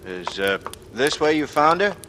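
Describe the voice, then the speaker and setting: A man's voice: on the high side, sing-song, a little slow, background noise. An actor playing a secretly guilty man who confides tensely and feigns innocence, in 1940s radio noir style. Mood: feigned curiosity. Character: secretly guilty strangler narrating, nervy, falsely casual man from the East. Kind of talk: 1940s radio noir acting, first-person narration. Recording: old radio recording with loud music.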